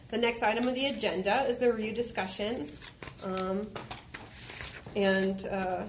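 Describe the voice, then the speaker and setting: A woman chairs a board meeting in a formal, procedural way, reading through the agenda. Her voice is a touch high. The room around her is echoey. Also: slight background noise.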